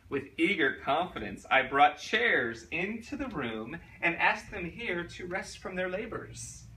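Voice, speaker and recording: A man's voice: a little high, sharp tone, exaggerated voice. A man playing a guilty, nervous murderer coming undone, in a theatrical dramatic reading of a gothic horror story. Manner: theatrical, deliberate, intensely emotional. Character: guilty, nervous murderer unraveling into frenzied madness. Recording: noisy, distant microphone.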